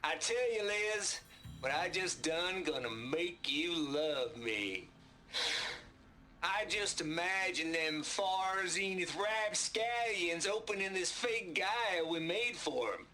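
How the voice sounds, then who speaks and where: A folksy Southern prankster, boisterous and irreverent. His high, bright voice takes its time in a slow theatrical drawl, as in a video game cutscene. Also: expressive, exaggerated voice. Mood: gleeful.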